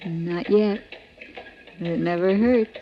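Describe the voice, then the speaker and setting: A woman's voice: lowish voice, takes it slowly. A woman playing a dreamy, playfully curious sweetheart in a 1940s radio crime drama, coy in manner. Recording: muffled vintage 1940s radio recording.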